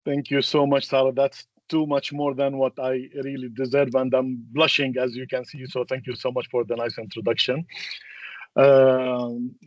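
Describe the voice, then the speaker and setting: A man, a native Arabic speaker, moderating a webinar and introducing speakers in a halting, hesitant way. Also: medium pitch, little pitch movement, no echo, dull audio.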